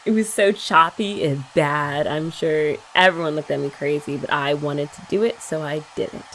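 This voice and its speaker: A young woman in a sweet, chatty girlfriend roleplay for ASMR, talking softly and often laughing gently. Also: low-pitched, moderate pace, slight background noise, poor audio.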